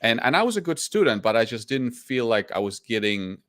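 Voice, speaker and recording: A man's voice: medium pitch, sing-song, fairly quick, a bit bright, no room sound. Man. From Poland. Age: 40s. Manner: candid and reflective, with frequent restarts. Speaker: guest, stock investor. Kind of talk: casual interview storytelling.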